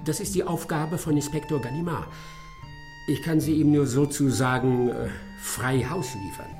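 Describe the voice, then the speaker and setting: A man acting a smug, courteous English gentleman detective in a German radio drama, dryly ironic and savouring each phrase. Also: fairly high pitch, normal speed, faint music behind, lightly acted. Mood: businesslike, determined.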